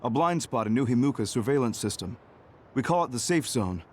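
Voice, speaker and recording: A man playing a composed, guarded senior officer in a video game, talking in a measured, explanatory way. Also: medium pitch, expressive, slight background noise, lightly acted. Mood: calm, guarded. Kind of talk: English video game voice acting.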